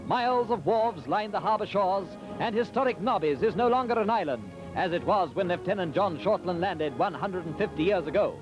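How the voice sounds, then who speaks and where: Male 1940s newsreel narrator, high-set voice, formal and celebratory, dull archival sound under loud music. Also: expressive, a little fast, few pauses.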